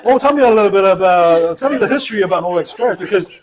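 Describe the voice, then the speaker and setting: A man from Samoa acting as co-host at home, asking his wife questions in a halting, playful way, often repeating himself. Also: high-pitched, animated, a crowd in the background. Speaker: co-host, interviewer. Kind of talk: casual chat.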